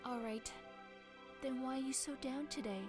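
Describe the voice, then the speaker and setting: Girl acting a sweet-talking young girlfriend in a visual novel, high voice, kinda robotic n flat, soft music behind. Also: normal speed, natural voice. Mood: flatly soothing. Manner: flat, emotionless, robotic delivery. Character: young woman, a soothing, attentive girlfriend, retro slang.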